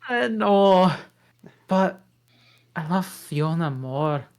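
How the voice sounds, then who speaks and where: A woman reading a gruff male ogre in love at a table read, low and gruff. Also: animated, very slow, darkish tone, heavily acted. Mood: resolute.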